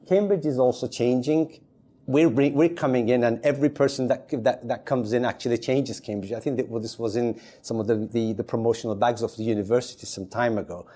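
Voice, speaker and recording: A man's voice: medium pitch, a little mellow. A man from Turkey, a podcast guest, chatting casually and rambling with many fillers and restarts. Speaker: podcast guest, community advisor. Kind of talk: casual chat.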